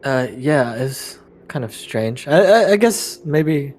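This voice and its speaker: A man playing a tabletop game, casually describing his character's actions in a halting, informal way. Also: medium pitch, animated, a little mellow, a little hiss or hum.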